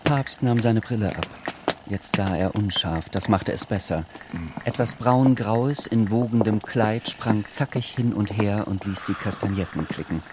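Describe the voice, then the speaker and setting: An actor as the composed narrator of a literary radio drama, measured and precise. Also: male voice, on the low side, background noise, close to an everyday voice. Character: composed, observant male storyteller.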